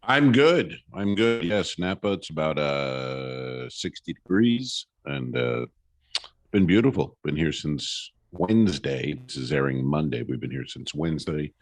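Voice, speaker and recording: A man's voice: a bass voice, animated, measured pace, dry recording. A man co-hosting a comedy podcast from Napa, chatting with hesitant fillers and playful reactions as he searches for words. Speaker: podcast co-host. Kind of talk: casual comedic chat. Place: Napa, California, indoors.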